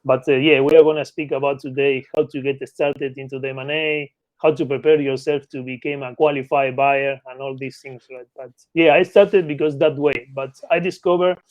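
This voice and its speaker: A man's voice: mid-range voice, steady tone, normal speed, hardly any pauses, dry recording, highs cut off. A man from Argentina with an Argentine Spanish accent explains his work conversationally as an interview guest, often ending points with short tag questions. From Patagonia, Argentina. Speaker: interview guest, mergers and acquisitions consultant.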